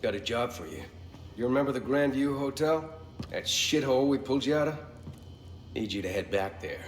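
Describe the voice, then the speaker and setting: An actor playing a steady, decisive middle-aged militia leader in a video game, plain-spoken and commanding. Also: male voice, mid-range voice, brisk, roomy sound, long reverb tail, soft background music, close to an everyday voice. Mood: determined briefing. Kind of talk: video game cutscene dialogue.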